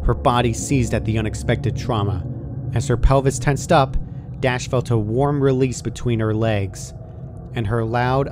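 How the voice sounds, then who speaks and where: A man reading a scripted story aloud as its narrator. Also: mid-range voice. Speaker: fan fiction narrator. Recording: clearly noisy home recording.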